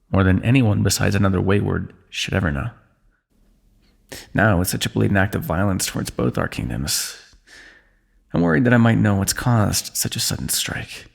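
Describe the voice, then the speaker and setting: A dry, teasing fantasy king, played by a man in an ASMR roleplay, wounded but unbothered. His voice sits low, with a gravelly, husky grain. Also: heavily acted. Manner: dry, teasing, calm understatement. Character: wry wounded male ruler, teasing old comrade.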